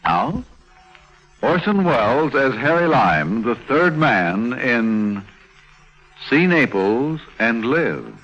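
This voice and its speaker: A man's voice: medium pitch, big pitch swings, takes it slowly, fairly bright tone, faint music behind. A man announcing a 1950s radio show in a stately, dramatic manner. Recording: dull old radio broadcast.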